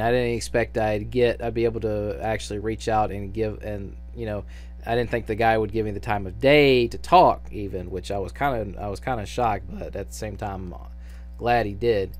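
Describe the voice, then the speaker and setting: Halting, rambling man over background music. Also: on the low side, a little mellow. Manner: hesitant, halting, rambling delivery with frequent trailing restarts. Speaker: fan dub director and voice actor announcing auditions. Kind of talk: unscripted casual announcement to viewers.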